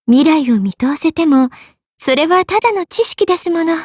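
A woman's voice: very high voice, expressive, normal speed, dry recording, studio quality. An actress voicing a playful, money-minded half-spirit young queen in a Japanese mobile game, coy and polite with a regal side. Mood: tender, sincere. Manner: coy, polite, sweetly drawling, sometimes solemn. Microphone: close studio microphone.

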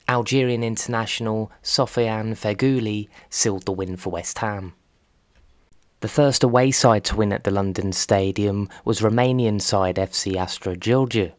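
Male narrator, lower register, dry close sound, measured and factual scripted sports documentary read. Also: no echo. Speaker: narrator and host of a football history channel.

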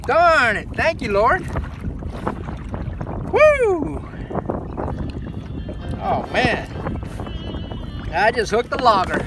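A man's voice: extremely high pitch, very animated, very slow, long pauses, over music. A man, narrating his fishing to viewers from a kayak, exuberant and whooping, talking to the guys. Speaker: fishing vlogger. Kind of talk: excited outdoor commentary to viewers. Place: kayak on open water, outdoors. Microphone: head-mounted action camera. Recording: loud wind noise outdoors. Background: wind and water.

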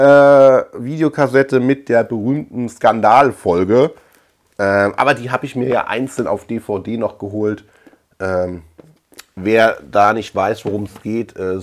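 A man in his thirties talking casually in a chatty, digressive way, thinking aloud as he hosts a collector vlog. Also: on the low side. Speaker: host of a collector video channel. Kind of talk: casual rambling vlog talk. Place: small indoor room lined with shelves.

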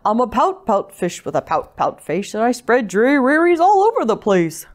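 A gloomy male fish from a children's storybook, played by a woman. The voice laments in sing-song rhyme, resigned and mopey, with wide dips and rises in pitch. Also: big pitch swings, normal speed. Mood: glum, resigned. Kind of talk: children's picture book read-aloud.

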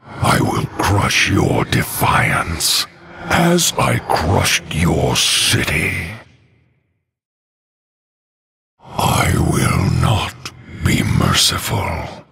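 An actor playing a cruel, imperious undead death knight king in a video game, with slow, menacing, commanding declarations in a deep gravelly rasp. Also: wildly swooping pitch, bright, forceful tone, heavily acted. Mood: menacing, wrathful. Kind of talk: video game hero voice lines.

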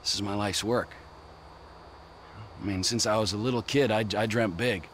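An actor playing a volatile middle-aged drug dealer in a video game cutscene, menacing and profane, swinging from quiet to yelling. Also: male voice, on the low side, fairly even intonation, normal speed, a little hiss or hum, close to an everyday voice. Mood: insistent pleading.

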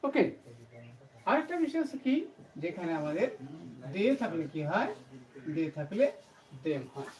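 A woman's voice: on the low side, very animated, a little slow, pauses often. A woman teaching grammar to camera, explaining emphatically and repetitively, asking questions and answering them herself. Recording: distant mic, faint background noise.

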